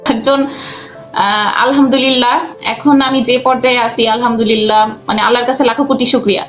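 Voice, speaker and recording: High-voiced woman in an interview. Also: steady tone, a little fast, fairly bright tone, soft background music. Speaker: guest, freelance cartoon voice artist and animator. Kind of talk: conversational interview answers.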